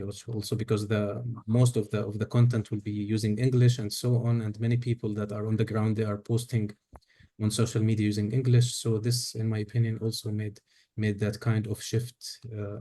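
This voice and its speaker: A man's voice: on the low side, mellow. A man commenting in a panel discussion on a video call, hesitant and full of fillers. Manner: hesitant, filler-heavy, repeats words. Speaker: faculty member commenting.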